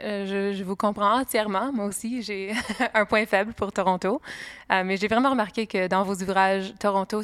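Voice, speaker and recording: A woman hosting an interview, polite and a little hesitant, with frequent filler pauses as she introduces her guest and asks questions. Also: mid-range voice, fairly bright tone, no room sound.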